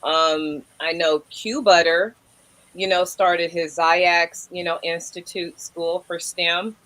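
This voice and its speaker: A woman's voice: lowish voice, fairly even intonation, takes it slowly, a bit bright, poor audio. A woman with a Brooklyn accent co-hosting a casual livestream chat, rambling and filling nearly every phrase with "you know". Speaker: livestream co-host.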